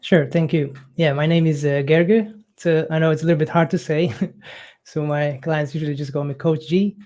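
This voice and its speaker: A man's voice: on the high side, fairly even intonation, darkish tone. Guy coach, young, lots of ums and uhs, casual chat. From Hungary. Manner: halting, many fillers, non-native phrasing.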